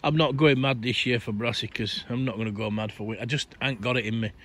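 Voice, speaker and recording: A middle-aged man with a croaky, worn-out voice, chatting in a rambling way while he gardens outdoors. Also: medium pitch, steady tone, fast, a bit bright. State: croaky voice, coughing. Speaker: allotment gardening vlogger. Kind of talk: casual garden update to camera.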